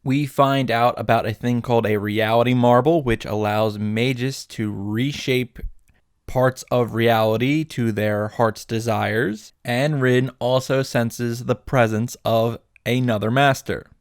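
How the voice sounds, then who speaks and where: A man's voice: medium pitch, fairly even intonation, slow, smooth, no echo, clean studio sound. A man recapping a visual novel as a host, wry and irreverent, with joking asides and swearing for comic effect. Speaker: video game summary host. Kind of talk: sarcastic story recap with asides.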